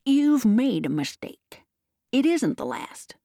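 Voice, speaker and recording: A woman's voice: fairly high pitch, lively intonation, moderate pace, warm tone, dry recording, clean studio sound, pitched above the performer's own voice, lightly acted. A woman voicing a mean, grasping old book salesman, insistent and legalistic, in a storybook reading.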